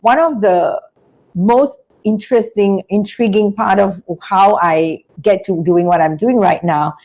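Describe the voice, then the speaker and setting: A woman's voice: mid-range voice, a little slow, highs cut off. A middle-aged woman, telling her life story as a guest on a video-call interview with a lapel mic in a small room. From Singapore. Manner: animated storytelling with hand gestures. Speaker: guest, business school founder.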